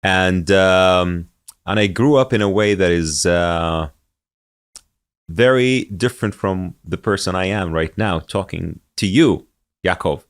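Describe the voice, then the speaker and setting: Deep-voiced man, hesitant. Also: takes it slowly, smooth. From Saudi Arabia. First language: Arabic. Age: 40s. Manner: hesitant, many fillers and restarts, laughs easily. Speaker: podcast guest. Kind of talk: conversational interview.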